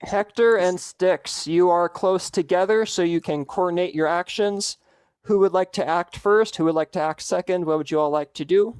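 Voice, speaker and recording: A man's voice: high-pitched, moderate pace, a little mellow, clean tone, dry recording. A man narrating as game master of a tabletop role-playing game, explaining rules and scenes in an easygoing way.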